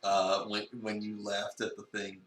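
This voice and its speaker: A man's voice: on the low side, monotone, unhurried, a bit bright, slight background noise. A man in casual chat as a co-reader on a stream. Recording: roomy, distant from mic.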